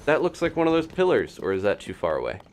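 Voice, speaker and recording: A man's voice: mid-range voice, huge pitch swings, fast talker, soft background music. A man giving casual live gameplay commentary as a streamer, thinking aloud as he plays. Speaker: game streamer, host.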